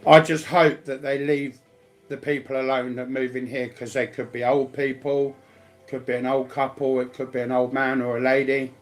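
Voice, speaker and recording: Male, mid-pitched and fairly monotone, colloquial rambling chat with repeated phrases, faint music behind. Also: normal speed. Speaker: speaker sharing personal life news.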